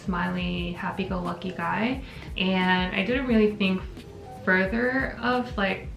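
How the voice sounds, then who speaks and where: A woman's voice: medium pitch, fairly even intonation, slow pace, vocal fry, fairly bright tone. A young woman from Korea hosting a casual relationship Q&A, answering thoughtfully and reading viewer questions aloud. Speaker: channel host. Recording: echoey room with background music.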